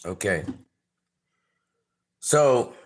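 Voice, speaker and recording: This is a low-pitched man with a Trinidadian accent. He talks casually and at length, trailing into fillers and circling back on himself as he reacts to a trailer for his channel. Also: big pitch swings, drawn-out words, soft background music. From Trinidad and Tobago. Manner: rambling, many fillers and restarts, gives shout-outs. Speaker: channel host reviewing a trailer.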